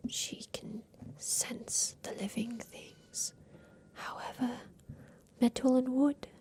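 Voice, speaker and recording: A woman playing an aging, wistful inventor confined in a haunted attic, whispering softly and confidingly in an ASMR roleplay about revenge. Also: fairly high pitch, heavily acted. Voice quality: soft breathy whisper. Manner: slow, wistful, confiding, halting.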